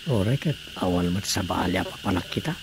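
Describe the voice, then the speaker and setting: An actor with an Ilocano accent playing a stubborn, grumbling village captain in a comedy radio drama. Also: male voice, low-pitched, expressive, brisk, creaky, noisy background.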